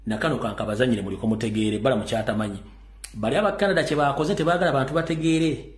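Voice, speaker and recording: A man's voice: mid-range voice, rapid-fire, echoey room. A Ugandan man giving urgent political commentary, warning listeners and mixing English into his talk.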